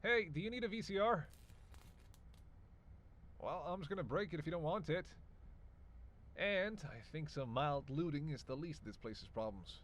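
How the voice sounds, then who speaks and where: An actor playing a reluctant, sardonic vampire in an audio roleplay, sarcastic and grumbling, swearing freely. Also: male voice, a little high, lively intonation, moderate pace, pauses often, fairly bright tone, a little hiss or hum. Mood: muttering, cynical. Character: sardonic, reluctant male vampire, hungry, cursing, teasing. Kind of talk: solo audio roleplay addressed to the listener.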